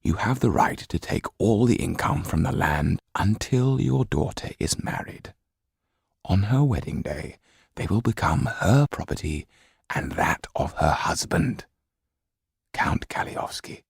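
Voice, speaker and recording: A man voicing a formal French lawyer in an audiobook, measured and firm, with dry irony and quiet disapproval. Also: on the low side, lively intonation, gravelly, breathy edge, dry recording, heavily acted. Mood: stern.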